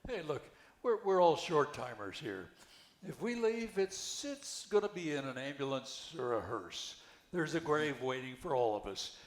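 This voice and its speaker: An older man reading the part of a wry, skeptical widowed retirement-home resident in an amateur play, halting and repeating words. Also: fairly high pitch, very animated. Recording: echoey room, background noise.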